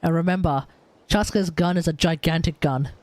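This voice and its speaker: A woman's voice: fairly low pitch, normal speed, a little mellow, a little hiss or hum. An Australian woman giving casual, conversational livestream commentary on a game, musing aloud. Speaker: livestream gamer. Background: game music.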